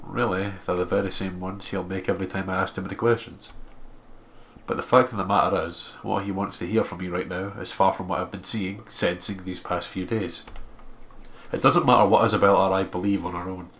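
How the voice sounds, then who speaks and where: A man's voice: low voice, steady tone, a little fast, fairly bright tone. A man co-hosting a let's play, casually chatting and reading story narration aloud. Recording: clear background noise.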